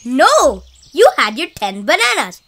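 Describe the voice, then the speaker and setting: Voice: high voice, wildly swooping pitch, measured pace, fairly bright tone, slight background noise, full character voice. An actor voicing a bossy but caring young boy in a children's educational cartoon, instructive in manner. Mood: firm. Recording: clear children's music behind.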